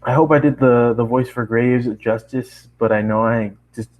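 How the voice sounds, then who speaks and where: A man's voice: medium pitch, steady tone, moderate pace, narrow, tinny audio. A man narrating a story as an amateur voice actor, stumbling over words now and then and apologetic about it. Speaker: fan fiction reader, amateur voice actor. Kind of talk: story narration with casual asides.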